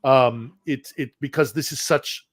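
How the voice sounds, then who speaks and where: A man's voice: medium pitch, fairly even intonation, unhurried, no room sound. A man speaking as a guest correspondent from Ukraine in a casual live-stream conversation, earnest and often repeating words while he searches for them.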